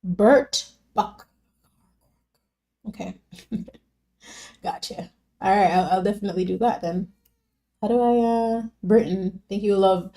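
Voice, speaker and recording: A woman's voice: medium pitch, dark tone. A young woman from Jamaica chatting casually on a live stream, reading viewers' comments and answering them by name with lots of fillers.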